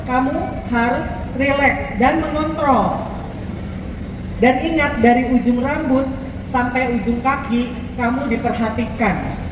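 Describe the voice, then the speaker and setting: A woman's voice: high voice, noisy background. A woman coaching models on posture and walking, conversational and asking rhetorical questions. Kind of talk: instructive coaching talk. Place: large echoey mall event space. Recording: echoey hall audio from far off.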